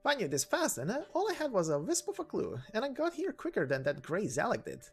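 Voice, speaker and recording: A woman's voice: mid-range voice, wildly swooping pitch, fast, slightly rough, soft background music, full character voice. A woman voicing a brash, swaggering young female knight in a game story, cocky, slangy and emphatic.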